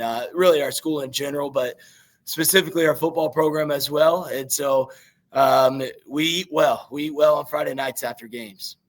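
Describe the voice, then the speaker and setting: A man with a naturally raspy voice and a Midwestern American accent, a young high school football coach giving rambling interview answers full of fillers. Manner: rambling, many fillers and restarts.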